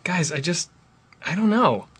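A nervous young cartoon guy, acted by a man, high and bright with a rough edge. He hesitates and protests, reluctant to go along with anything. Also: lively intonation, unhurried, full character voice. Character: reluctant, anxious young man under peer pressure. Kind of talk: animated dark comedy dialogue.

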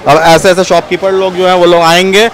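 A young man from Bihar running a casual street interview vlog, curious and chatty, asking quick follow-up questions in a busy fish market. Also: high voice, flat delivery, background noise. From Bihar, India. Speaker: food vlogger. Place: busy outdoor fish market before dawn.

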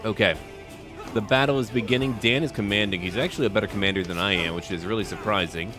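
A man's voice: on the low side, fast, music in the background. A man hosting a roleplay strategy game video, musing aloud in short, clipped remarks. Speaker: gaming video host.

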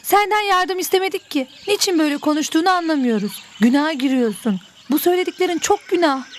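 A woman voicing a gentle, pious migrating butterfly in an educational cartoon, speaking politely and earnestly. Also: very high-pitched, quick pace, a little hiss or hum.

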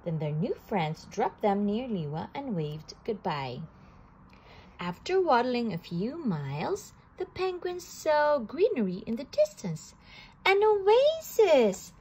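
A woman reading a children's picture book aloud, slowly and with animated delivery. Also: fairly high pitch, a little hiss or hum, rough recording. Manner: slow, animated storytelling with occasional restarts. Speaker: storybook reader.

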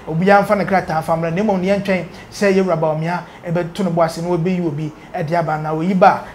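A man's voice: a little high, slight background noise. A man in his thirties with a Ghanaian accent, presenting informal news commentary in a studio on a lapel mic. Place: indoor studio.

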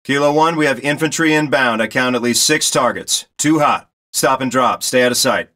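Game role: male actor as military spotter pilot, middle register, terse and commanding, steady under pressure. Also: medium pitch, moderate pace, close to an everyday voice. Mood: urgent. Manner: clipped, commanding. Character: steady male military reconnaissance pilot directing a ground squad. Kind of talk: terse military radio commentary, game acting.

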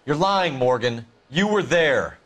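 A man's voice: a little high, expressive, measured pace, fairly bright tone. A man playing a stern, determined police detective in a video game, pressing suspects in a firm, accusatory, commanding way. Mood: angry, threatening. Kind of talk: scripted video game acting.

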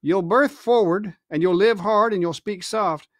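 A man's voice: high voice, unhurried, dry recording, clean studio sound. A man reading a storybook, voicing a sly, domineering pirate ship's cook with hectoring, colloquial sailor speech. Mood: commanding, scheming.

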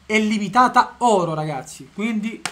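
A man in his twenties chatting excitedly as a football fan vlogger, slangy and repeating phrases for emphasis. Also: high-pitched, moderate pace. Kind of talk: excited vlog chat and live reaction. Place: sponsor segment in a room at home, then a busy football stadium. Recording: music and crowd noise behind.

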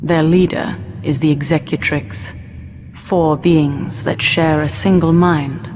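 Low-voiced, solemn woman narrator, cavernous reverb. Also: fairly even intonation, slow pace. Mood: solemn, ominous. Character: ominous, measured woman narrator of a science-fiction saga. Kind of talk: scripted cinematic narration. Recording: long reverb, noisy ambient bed.

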